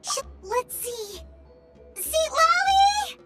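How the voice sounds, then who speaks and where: A woman voicing a tiny, cheeky, childlike fairy companion in an anime-style video game, with a squeaky voice. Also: very animated, extremely slow, music in the background, exaggerated voice. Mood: puzzled.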